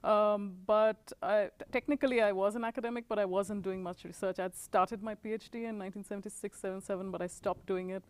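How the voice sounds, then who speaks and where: A woman in her forties, from India, recalls her life in a recorded interview. Her pitch sits in the middle, neither high nor low. She talks in a measured, reflective way and lets her sentences trail off quietly. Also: fairly even intonation, smooth, no echo. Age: 40s. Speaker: interviewee, author and professor. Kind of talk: recorded oral history interview.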